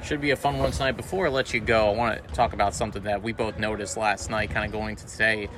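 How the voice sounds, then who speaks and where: A man's voice: on the low side, fairly even intonation, fast. A man hosting a sports podcast in an upbeat, conversational style. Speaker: podcast host, sports broadcaster. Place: sports arena before a game. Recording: clear urban ambient background noise.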